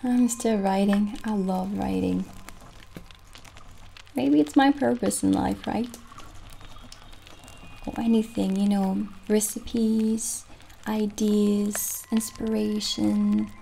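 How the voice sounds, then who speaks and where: A woman in an ASMR roleplay playing a gentle, friendly witch who keeps a potion shop, speaking softly and soothingly without hurry. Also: mid-range voice, fairly even intonation, creaky, a little hiss or hum. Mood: calm, friendly. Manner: soft, unhurried, soothing. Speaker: ASMR performer. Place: small dim candlelit room, indoors. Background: soft music.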